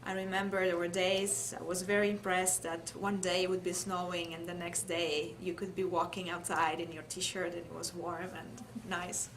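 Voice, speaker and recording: Woman, Italian accent, lower pitch, thoughtful interviewee, personal recollection, faint background noise. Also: little pitch movement, normal speed, hardly any pauses. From Italy. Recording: clear background noise, room ambience.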